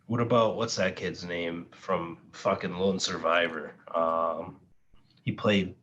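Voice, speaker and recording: Opinionated male podcast co-host, lowish pitch, curses casually, slightly lo-fi sound. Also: fairly even intonation, slow, a bit bright. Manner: opinionated, swears freely. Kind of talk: casual banter among friends. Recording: dull lo-fi audio with background noise.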